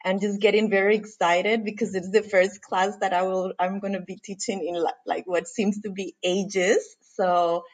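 A woman's voice: mid-range voice, level tone, moderate pace, few pauses. Enthusiastic middle-aged woman from Costa Rica. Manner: warm, enthusiastic, many fillers. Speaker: guest. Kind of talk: casual podcast interview.

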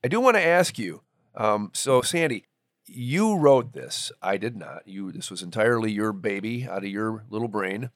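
A man's voice: fairly low pitch, lively intonation, moderate pace, no room sound, studio quality. A man co-hosting a podcast in a playful, bantering way. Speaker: podcast co-host. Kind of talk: scripted introduction and casual joking chat.

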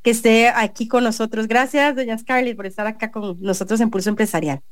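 A woman's voice: fairly high pitch, steady tone, a little fast, dry recording. Earnest Costa Rican woman radio host. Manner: earnest, many restarts and fillers. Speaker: radio show host and journalist. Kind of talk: editorial commentary and interview.

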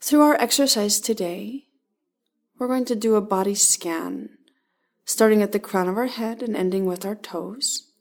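A woman leading a guided body-scan meditation, giving calm, gentle instructions in short, spaced phrases. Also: medium pitch, unhurried.

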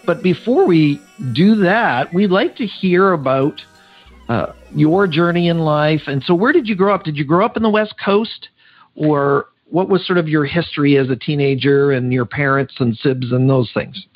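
A man's voice: a little high, normal speed, highs cut off, faint music behind. A man hosting a podcast interview, chatty and playfully teasing his guest. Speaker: podcast host.

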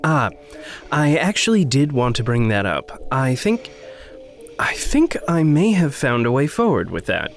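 An actor playing an earnest, anxious, conscientious man in an audio drama, speaking haltingly and trailing off. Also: mid-range voice, big pitch swings, faint music behind. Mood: hesitant, polite. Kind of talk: naturalistic audio drama acting.

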